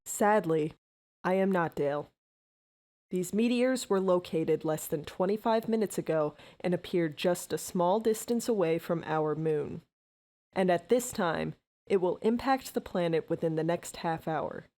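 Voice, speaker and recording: A woman plays a female mayor in an audio drama, addressing citizens in an emergency. Her voice sits fairly low, and her delivery is formal, solemn and official. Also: a little slow, darkish tone, close to an everyday voice. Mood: grave.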